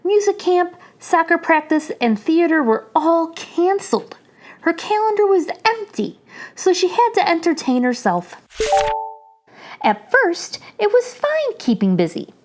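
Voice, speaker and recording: A woman reading a children's picture book aloud in an animated storytelling manner. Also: very high voice, measured pace. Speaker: children's story reader, channel host.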